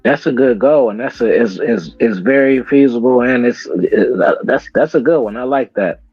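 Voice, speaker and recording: A man hosting a casual interview, relaxed and slangy, stumbling over restarts, on dull, dry lo-fi audio. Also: mid-range voice, normal speed, slightly rough, no room sound, faint music behind. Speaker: interview host.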